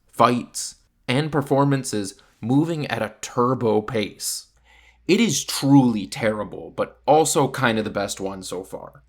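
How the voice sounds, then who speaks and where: A man narrating a scripted film review in a casual, wry, opinionated way. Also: mid-range voice. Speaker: video host, film reviewer. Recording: voice-over with faint music. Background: faint background music.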